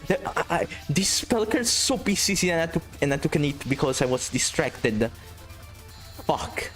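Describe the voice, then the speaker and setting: Excitable Italian-accented male game streamer. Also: on the high side, normal speed, soft background music. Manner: excitable, swearing, reading chat aloud. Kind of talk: casual gameplay commentary.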